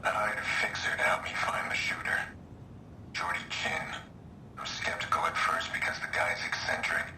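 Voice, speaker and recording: An actor playing a brooding, guarded vigilante hacker in his late thirties, speaking in terse, hushed, breathy lines for a video game audio log. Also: extremely low pitch, steady tone, moderate pace, echoey room, recorded from a distance, slight background noise, heavily acted, rough recording. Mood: wry, terse. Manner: terse, clipped, grim.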